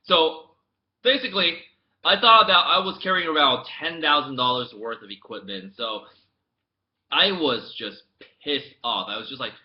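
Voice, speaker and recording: A young man from the United States, chatting casually to his camera as a vlogger. He tells his story in a rambling way with many restarts, and it swings from mumbled asides to loud outbursts. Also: a little high, expressive, normal speed, dull audio. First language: English. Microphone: laptop webcam mic.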